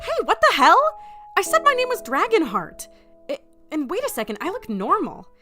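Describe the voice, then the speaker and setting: Excitable exasperated agent, super high voice swinging all over, music underneath. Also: female voice, normal speed, full character voice. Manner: flustered, sarcastic, swearing. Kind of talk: audio drama acting.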